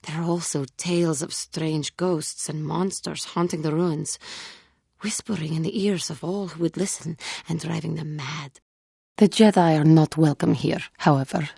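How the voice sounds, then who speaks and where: Troubled young female Jedi, lowish husky voice, formal measured phrasing, earnest, video game dialogue. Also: warm tone, no echo, clean studio sound, exaggerated voice. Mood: grave, measured. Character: earnest, troubled young woman Jedi warrior, self-reproachful. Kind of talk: scripted game character dialogue.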